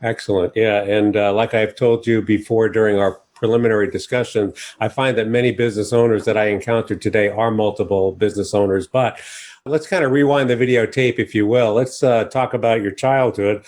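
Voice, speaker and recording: This is an American man from New York with a fairly low voice. He hosts a conversational interview and asks his questions in measured, slightly formal phrases. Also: steady tone, darkish tone. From New York, United States. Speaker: podcast host interviewing a guest.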